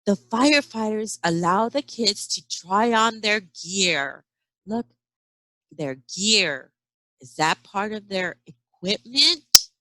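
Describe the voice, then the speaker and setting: A woman's voice: mid-range voice, expressive, slow pace, sharp tone, no echo, low-quality recording. A woman teacher reading a children's picture book aloud expressively, with chatty asides and questions to young listeners. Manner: acts out sound effects and exclamations. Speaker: early education teacher.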